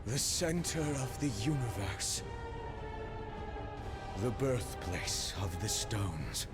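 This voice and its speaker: An actor playing a grim, defiant armored villain in a video game, speaking in terse, portentous phrases. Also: male voice, fairly low pitch, sing-song, takes it slowly. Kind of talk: dramatic video game acting. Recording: loud music behind the voice.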